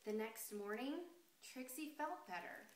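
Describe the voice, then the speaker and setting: A woman reading a picture book aloud expressively and deliberately, putting questions to young listeners. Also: on the high side, a little hiss or hum. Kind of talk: expressive children's story read-aloud. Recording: roomy, echoey sound.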